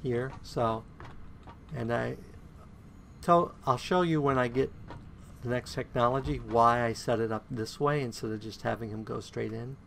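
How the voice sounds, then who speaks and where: A man giving casual gameplay commentary on his own play, a bit croaky today, counting items aloud and humming to himself. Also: mid-range voice, fairly even intonation, unhurried, a bit bright, a little hiss or hum. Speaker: game streamer.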